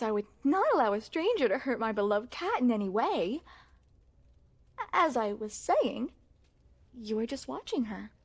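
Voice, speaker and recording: A woman's voice: a little high, exaggerated voice. A woman playing a refined young crown princess in an ASMR roleplay, speaking formally and with coy poise straight to the listener. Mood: curious, coy. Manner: formal, poised, genteel storytelling with coy amusement.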